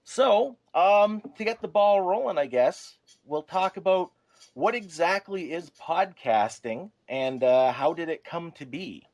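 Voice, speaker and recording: A man's voice: fairly high pitch, lively intonation, a little slow, a little mellow. A man hosting a podcast, introducing the show and guests with playfully formal phrasing. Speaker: podcast host. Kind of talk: hosting, semi-scripted intro to a chat show.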